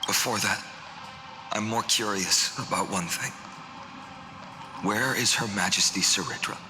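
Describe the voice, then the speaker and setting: A man as a slow, solemn swordsman in echoing reverb. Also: fairly low pitch, background noise, lightly acted. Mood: guarded, resolute. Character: solemn nameless swordsman, weary man burdened by fate. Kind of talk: dramatic game dialogue and narration.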